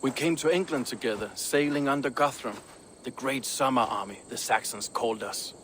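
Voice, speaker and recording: An actor playing a proud, ambitious but loyal Viking shipbuilder, speaking in a measured, earnest way for a video game. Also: male voice, medium pitch, fairly bright tone, a little hiss or hum, lightly acted. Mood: frank, proud. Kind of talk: dramatic video game cutscene dialogue.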